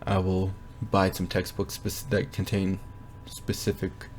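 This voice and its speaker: A young man giving a casual, rambling progress update from his bedroom, often pausing to think aloud. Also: low-pitched, completely flat delivery, slight background noise. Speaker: language learner vlogger. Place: bedroom at home.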